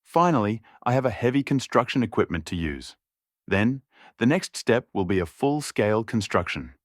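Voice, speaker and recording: A man's voice: fairly low pitch, lively intonation, moderate pace, dry recording, studio quality. A man reading a scripted story as an audiobook narrator.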